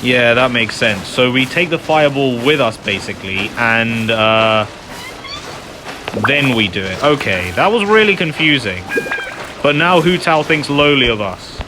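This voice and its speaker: A man's voice: mid-range voice, animated, a little slow. A man giving casual, self-deprecating and apologetic commentary while playing a video game. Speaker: gamer narrating a playthrough. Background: loud video game music.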